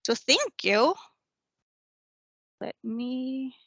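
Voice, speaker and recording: Woman, lawyer, informal presentation, bright, dry close mic. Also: high voice, very animated, very slow, gravelly, no room sound. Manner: informal, many fillers and restarts. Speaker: tenant rights attorney. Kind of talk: educational presentation.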